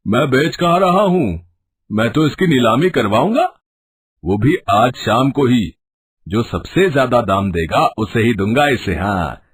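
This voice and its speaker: A man plays a crafty village fellow in a children's cartoon tale. His voice is mid-pitched and rough-edged, and he draws his words out slowly and deliberately, like a persuasive seller. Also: big pitch swings. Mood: sly, persuasive. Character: sly village men: servant, hen-seller, goldsmith. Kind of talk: Hindi cartoon moral story acting.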